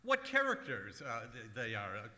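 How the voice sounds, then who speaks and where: A man playing a prissy, genial, hesitant comedian in an old-time radio show, with halting, stammering reactions. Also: medium pitch, wildly swooping pitch, pushed and bright, heavily acted. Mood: puzzled, flustered. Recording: echoey hall, live stage performance.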